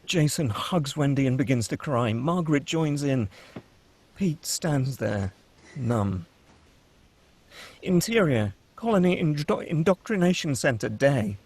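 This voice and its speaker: A man narrating the stage directions at a script table read, steady and descriptive. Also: mid-range voice, unhurried, slightly rough.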